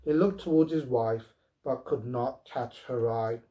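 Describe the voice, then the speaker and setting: A man narrating a story aloud in a deliberate, dramatic, expressive way. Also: medium pitch.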